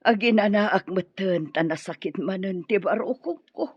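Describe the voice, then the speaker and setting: A woman's voice: medium pitch, moderate pace, slightly rough, muffled recording, close to an everyday voice. A woman with a Filipino accent playing an older, ailing, meddling mother in an Ilocano radio drama. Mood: plaintive.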